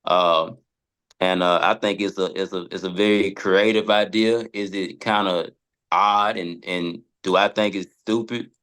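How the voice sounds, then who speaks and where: A middle-aged American man with a low voice chats as a podcast guest. He is blunt and slangy, often halting and circling back as he works out his point. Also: fairly even intonation, a bit bright, smooth. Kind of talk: casual chat.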